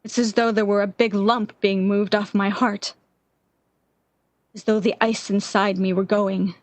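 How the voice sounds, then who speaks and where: A woman playing a distraught, obsessive lovesick woman in a 1940s-style radio drama, with an intense, halting, theatrical delivery. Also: mid-range voice, little pitch movement, no room sound, close to an everyday voice. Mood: fervent relief.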